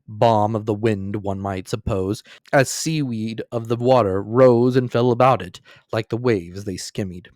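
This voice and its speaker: Male narrator, dramatic classic-novel reading, mid-pitched, dry close sound. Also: no echo. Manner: expressive, theatrical storytelling.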